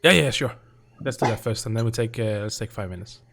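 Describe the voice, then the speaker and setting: A man running a tabletop game, chatting casually with his players, laughing often and pausing with fillers. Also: fairly low pitch, creaky, fairly bright tone. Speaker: game master. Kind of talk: casual chat between tabletop game sessions.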